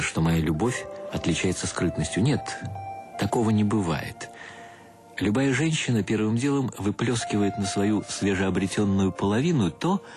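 A man acting as a wry, self-mocking intellectual bridegroom, narrating a radio play in an ironic, mock-solemn, ornate way. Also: low-pitched. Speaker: actor narrating a radio drama. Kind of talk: first-person literary narration, radio play.

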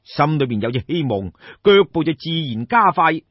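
Husky, animated Cantonese storyteller man. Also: fairly high pitch, normal speed. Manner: colloquial, exclamatory, theatrical. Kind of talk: dramatic traditional Cantonese audiobook storytelling. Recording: dull, roomy lo-fi recording.

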